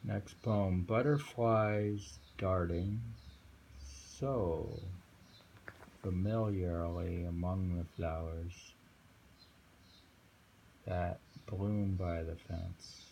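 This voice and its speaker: A middle-aged man reading short poems aloud and commenting casually, in a musing, halting way with frequent hums and pauses. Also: sits low, steady tone, gravelly, a little mellow. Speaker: poetry reader. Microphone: handheld phone. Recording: noisy amateur phone recording.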